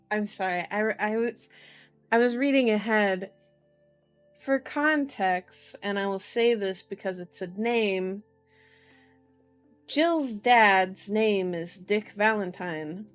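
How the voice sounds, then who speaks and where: A woman's voice: mid-range voice, slow, pauses often, faint music behind. A woman reading a novel aloud in an ASMR style, with informal, giggly asides, as a streamer. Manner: giggly, self-interrupting, informal.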